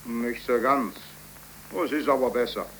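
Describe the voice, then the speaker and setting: A man's voice: mid-range voice, normal speed, lightly acted. An actor playing a plain-spoken, curious working man in a 1930s German radio play. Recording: noisy 1931 radio recording.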